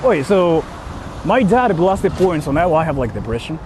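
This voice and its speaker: A man with a fairly high, very lively voice chats casually to his livestream viewers. He rambles, questions himself and drops loose profanity. Clear city street and vehicle noise surrounds him as he talks. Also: normal speed. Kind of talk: casual livestream chat, reading viewer comments. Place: outdoors on an urban street, walking. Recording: clearly noisy outdoor city street stream.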